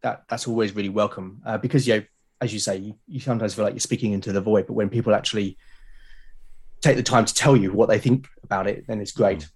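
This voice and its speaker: A man's voice: fairly low pitch, fairly even intonation, fast talker, slightly rough. A British man talking casually and earnestly as a podcast guest, with an encouraging way of speaking. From Britain. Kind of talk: casual interview chat.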